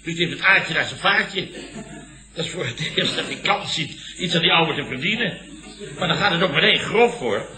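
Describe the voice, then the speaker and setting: An actor playing a blustering, self-important middle-aged boss who tells indignant tall tales emphatically in a Dutch radio comedy. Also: male voice, fairly high pitch, animated, normal speed, music in the background, close to an everyday voice. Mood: scornful. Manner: emphatic, ends phrases on questioning tags. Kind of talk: 1960s-70s Dutch radio comedy acting. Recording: roomy old radio comedy recording.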